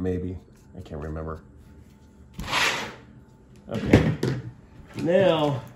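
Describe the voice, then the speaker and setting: A man's voice: fairly low pitch, slight background noise. A middle-aged man giving a casual, rambling show-and-tell review at a table, plainspoken and often restarting his sentences. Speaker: product reviewer. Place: indoors at a table, filming the tabletop.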